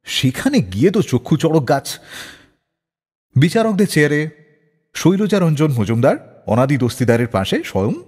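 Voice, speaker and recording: Animated husky radio storyteller, male. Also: fairly high pitch, moderate pace, long pauses. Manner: expressive, acts out quoted dialogue. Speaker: radio show host. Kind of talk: dramatic anecdotal storytelling, scripted radio narration. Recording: long reverb on the voice.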